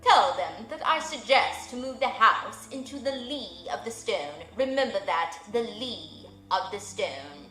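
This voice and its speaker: A woman's voice: mid-range voice, expressive, pushed and bright, reverberant, faint music behind, poor audio. Woman doing a grave old male owl. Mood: solemn. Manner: slow, measured, formal.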